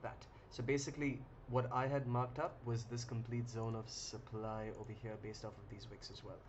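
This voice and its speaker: Relaxed man with many ums. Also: medium pitch, moderate pace. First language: English. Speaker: live trading stream host. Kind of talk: casual live-stream chat reading viewer comments. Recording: muffled lo-fi audio with clear noise.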